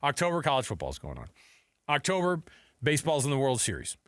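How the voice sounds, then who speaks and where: A man's voice: medium pitch, wildly swooping pitch, quick pace, a bit bright, dry recording, clean studio sound. A man hosting a sports radio show, arguing his points emphatically in casual, bantering debate. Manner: argumentative, emphatic.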